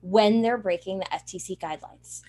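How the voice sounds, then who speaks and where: A woman's voice: medium pitch, normal speed, warm tone. A woman interview guest explaining things in an earnest, assured conversational way. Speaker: interview guest, publicity trainer and journalist.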